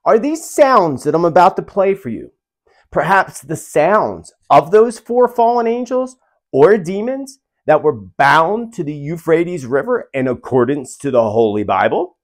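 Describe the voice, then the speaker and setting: Animated, theatrical man with a higher voice. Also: unhurried. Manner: theatrical, rhetorical questioning, emphatic phrasing. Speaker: channel host, self-described spiritual medium. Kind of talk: dramatic to-camera prophecy commentary.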